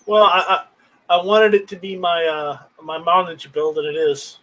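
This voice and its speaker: Male livestream host, slightly high-pitched voice, unscripted and rambling, halting delivery with repeated words. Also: a bit bright, lo-fi sound. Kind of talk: casual unscripted chat about gear.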